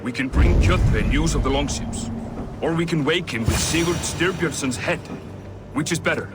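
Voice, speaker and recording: An actor playing a bold, bloodthirsty Viking raider in a video game. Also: male voice, on the high side, normal speed, music in the background, close to an everyday voice. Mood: scheming, eager. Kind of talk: dramatic video game acting.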